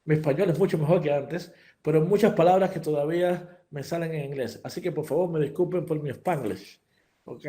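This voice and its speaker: A middle-aged man from Cuba giving a surgery lecture to colleagues, his voice sitting a little high for a man. He explains each step in a practical, instructive way. He often restarts a sentence and slips in English terms. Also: warm tone.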